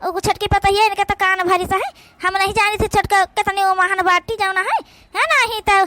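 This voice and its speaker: A woman's voice: very high-pitched, normal speed, noisy background. A woman with an Awadhi accent voicing a fretting, tender-hearted village daughter-in-law in a cartoon. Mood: worried, gossiping. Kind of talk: amateur cartoon voice acting.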